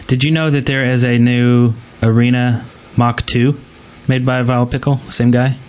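A man's voice: mid-range voice, level tone, normal speed, a little mellow. A man chatting casually as a co-host of a gaming podcast.

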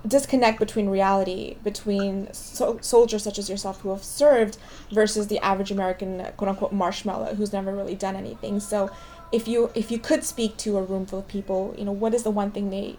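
A woman's voice: medium pitch, dark tone, slight background noise. A woman hosting an interview, asking long, rambling questions. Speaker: interviewer, host.

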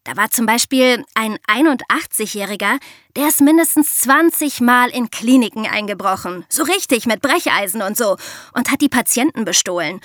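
Higher-voiced woman, conversational podcast explainer. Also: expressive, normal speed, a bit bright, dry recording, clean studio sound. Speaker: podcast co-host.

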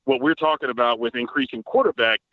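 Brief: guest analyst, man, rapid, detailed explainer, lo-fi remote line. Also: medium pitch, no echo. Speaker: guest football writer. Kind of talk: casual podcast chat.

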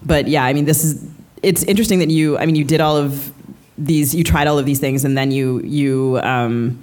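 A woman's voice: sits low, fairly even intonation, moderate pace, a little mellow. A woman speaks as a co-host at a live literary event. Her delivery is conversational, the kind used to question a guest on stage. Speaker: podcast co-host, writing teacher. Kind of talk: conversational interviewing. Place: live stage at a book fair.

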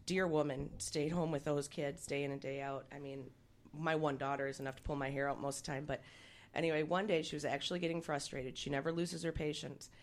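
Woman, 30s, low voice, laughs a lot, radio co-host. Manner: giggly, storytelling. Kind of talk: casual radio banter.

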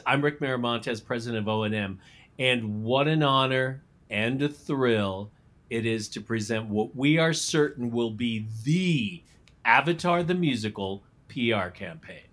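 A man playing a grandly earnest publicist in an audio comedy, salesy with dramatic pauses. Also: medium pitch, expressive, fairly bright tone, close to an everyday voice. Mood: slick welcome. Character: grandly earnest male PR pitchman.

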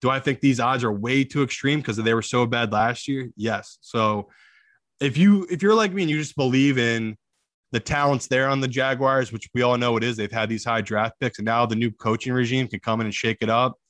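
Guy doing casual betting picks on a podcast, medium pitch, keen and informal, very dry recording. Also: moderate pace, fairly bright tone, no room sound. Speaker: sports betting analyst and co-host. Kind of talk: casual opinionated betting commentary.

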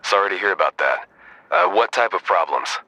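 An actor playing a weary, procedural police sergeant, measured and matter-of-fact, in an audio drama. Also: male voice, sits low, very animated, gravelly, pushed and bright, no echo, exaggerated voice, rough recording. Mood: businesslike. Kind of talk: audio drama acting, phone dialogue.

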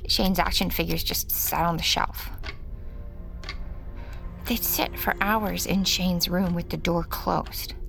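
A haunted, regretful mother tells her story for an audio drama. Her voice is halting and hushed, with a gravelly fry at the edges, over music. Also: lowish voice. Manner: halting, hushed, dropping to a whisper. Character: haunted, regretful mother recounting a dark past. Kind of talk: confessional audio drama narration.

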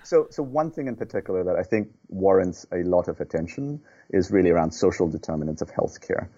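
A man from Nepal, a healthcare executive, answering podcast questions earnestly, often repeating words and pausing with fillers. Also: low-pitched, expressive, dark tone, breathy edge, dull audio.

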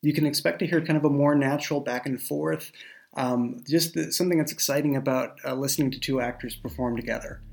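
Gravelly-edged man chatting enthusiastically. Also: medium pitch, fairly even intonation, fairly quick. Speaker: audio drama creator and writer. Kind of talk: casual podcast discussion.

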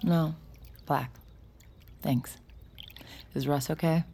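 A nervy, guarded woman in an audio drama. Her low voice crackles with fry and moves slowly, hesitant and wry, as if doubting herself. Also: fairly even intonation, warm tone, heavily acted. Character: guarded, nervy adult woman, secret mind reader, wry. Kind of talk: audio drama acting, intimate narration and dialogue.

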